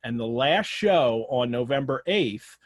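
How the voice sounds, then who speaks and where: A man's voice: mid-range voice, animated, measured pace, dry recording. An American man hosting a live online show, chatting through announcements and questions in a conversational way. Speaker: host of an online literary show. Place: remote online video call.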